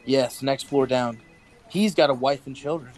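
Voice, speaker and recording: A man's voice: medium pitch, moderate pace, darkish tone, lightly acted, low-quality recording. A man playing a guarded, nervous office worker in an audio drama, hesitant and defensive. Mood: uneasy.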